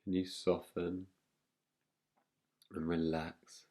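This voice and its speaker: A man guiding a relaxation meditation as a teacher, speaking in a soothing, unhurried manner with long pauses. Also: low voice, flat delivery, mellow.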